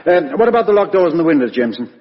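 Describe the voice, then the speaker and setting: Police inspector: British, informal, teasing, very animated delivery, reverberant old radio sound. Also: male voice, on the high side, very fast. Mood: brisk, businesslike. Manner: blunt, informal, matter-of-fact. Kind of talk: 1950s radio drama acting. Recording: muffled, echoey 1950s radio recording.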